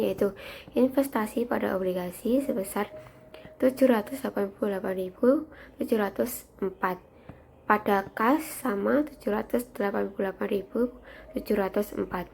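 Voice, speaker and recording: Young female student reading a presentation, mid-pitched with noticeable creak, some background noise. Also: normal speed, a little mellow. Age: young adult. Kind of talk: reading out worked accounting solutions.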